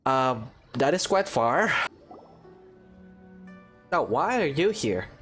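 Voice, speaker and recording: Low-voiced woman doing game commentary. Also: sing-song, slow pace. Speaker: gaming video host. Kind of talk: casual playthrough commentary. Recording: faint game music behind.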